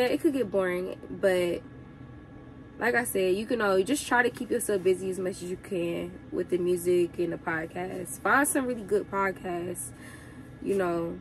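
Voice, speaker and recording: A young woman truck driver giving casual advice to her viewers, sounding congested from a cold, chatty and relaxed. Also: medium pitch, a little hiss or hum, low-quality recording.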